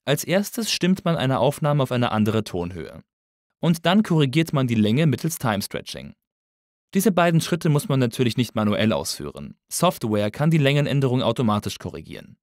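Man, instructor, scripted lesson narration, measured delivery, very dry recording. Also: medium pitch, sing-song, no echo. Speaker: audio engineering instructor.

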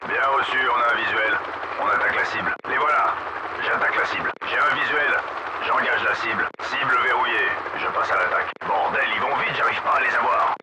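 A man's voice: medium pitch, lightly acted. Man acting an intent gunner in heavy noise. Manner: terse targeting reports. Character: male mercenary aircraft gunner, intent on target. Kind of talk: scripted military radio chatter. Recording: very noisy battle radio chatter.

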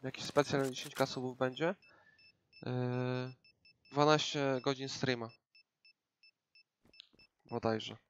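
A man's voice: mid-range voice, fairly even intonation, unhurried, long pauses, a bit bright, slight background noise. Polish guy streaming a shooter, headset mic at home, chatty and loose with fillers, faint gunshots under him. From Poland. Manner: casual, switches between English and Polish, many fillers. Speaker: gaming streamer. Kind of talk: live gameplay commentary.

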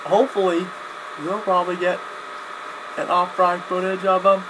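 A young man talking casually to camera in a halting, rambling vlog style, often backtracking and repeating himself. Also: high-pitched, little pitch movement. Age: young adult. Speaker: video blogger. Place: small cluttered home room, indoors. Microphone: webcam at desk distance. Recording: clear background noise, webcam-grade audio.

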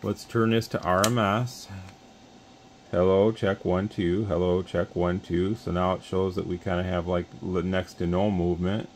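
Low-voiced man at a radio bench. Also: flat delivery, normal speed. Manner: plain, conversational, with frequent restarts and mic test counts. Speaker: radio shop owner demonstrating equipment. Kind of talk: casual bench demonstration. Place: radio workbench full of test equipment, indoors.

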